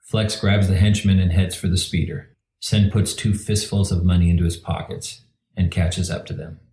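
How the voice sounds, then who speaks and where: Deep-voiced male drama narrator, echoey room. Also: flat delivery, moderate pace, mellow, slight background noise. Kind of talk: scripted narration of an audio drama. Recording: roomy, echoing recording. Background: music.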